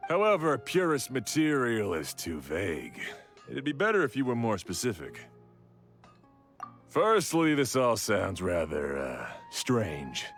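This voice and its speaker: A practical, particular blacksmith for an anime game. His voice is on the low side with lively intonation, polite but exacting. Faint music plays underneath. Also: measured pace, full character voice. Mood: skeptical. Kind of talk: anime video game voice acting.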